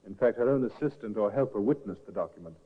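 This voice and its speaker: An actor playing a cousin giving matter-of-fact testimony in a 1950s radio drama. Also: male voice, lowish voice, animated, fast talker, breathy edge. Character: plain-spoken male cousin witness. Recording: tinny old radio broadcast.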